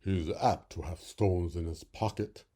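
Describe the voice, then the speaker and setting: An old storybook frog acted by a man croaks in a dark, mellow voice. He is a schoolmaster, gruff but kindly, drawing out his words. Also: deep voice, steady tone, a little slow. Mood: knowing.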